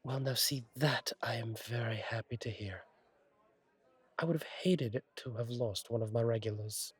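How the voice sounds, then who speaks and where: A man playing a friendly, courteous angel bartender in an intimate roleplay audio, speaking gently with thoughtful pauses. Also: medium pitch, husky, no echo, natural voice. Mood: warm, reassuring. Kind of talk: intimate roleplay audio acting, one side of a conversation.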